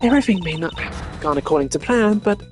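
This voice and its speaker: Woman acting a taunting villainess with animated delivery. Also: on the low side, fast talker, faint music behind, natural voice. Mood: smug taunting. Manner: theatrical, mocking. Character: gloating female master thief and killer, taunting villain. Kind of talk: video game character voicing.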